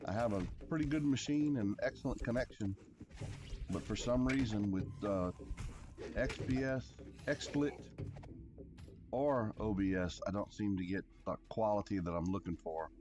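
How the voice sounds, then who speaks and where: A man with a deep voice, casually chatting to viewers as a livestreamer in an easygoing, rambling way. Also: moderate pace. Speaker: game livestreamer. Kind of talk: casual chat with stream viewers. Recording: music behind. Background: clear background music.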